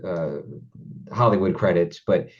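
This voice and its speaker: A man hosting an interview, speaking casually and haltingly, often repeating words as he thinks aloud. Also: low voice, muffled recording. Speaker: interview host.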